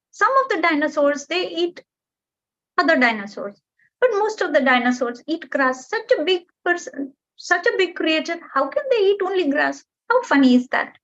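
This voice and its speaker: A woman voicing a small, chatty traveling bird with a high, sing-song, pleading voice. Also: expressive, measured pace. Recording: dull lo-fi recording.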